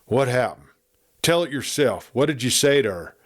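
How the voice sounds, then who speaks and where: A man doing a gruff outlaw chief. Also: medium pitch, animated, moderate pace, lightly acted. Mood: commanding. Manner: blunt, commanding.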